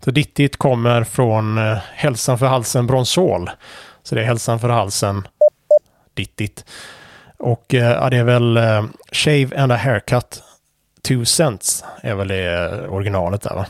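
A man calmly explaining Morse code conventions in a casual tutorial, pausing often with fillers and restarts. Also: medium pitch, dry recording, studio quality. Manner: relaxed, hesitant with frequent fillers and restarts. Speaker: amateur radio instructor.

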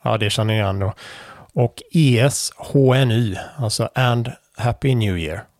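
A man calmly explaining Morse code conventions in a casual tutorial, pausing often with fillers and restarts. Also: mid-range voice, background noise. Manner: relaxed, hesitant with frequent fillers and restarts. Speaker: amateur radio instructor.

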